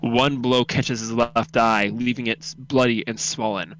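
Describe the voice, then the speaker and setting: A man's voice: mid-range voice, steady tone, unhurried, a crowd in the background, low-quality recording. Man narrating a suspenseful horror story. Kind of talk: dramatic horror story read-aloud.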